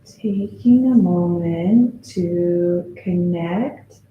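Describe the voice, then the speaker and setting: A woman leading a guided yoga nidra meditation as a teacher, speaking in a soothing, gentle way with long, unhurried pauses. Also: lowish voice, phone-quality sound. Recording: roomy echo with faint background noise.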